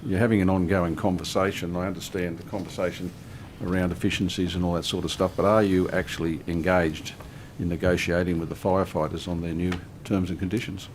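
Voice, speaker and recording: A man's voice: deep voice, little pitch movement, a little fast, a little hiss or hum. An Australian man on a parliamentary committee pressing a minister with questions, often repeating words as he starts.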